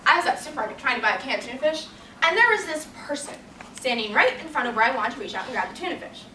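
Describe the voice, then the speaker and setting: A young woman plays a frazzled, neurotic woman in stage comedy. Her high, bright voice rambles along in a hurried, exasperated, self-absorbed way. The room echoes and the mic sits some way off. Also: expressive. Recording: roomy, distant mic, faint noise.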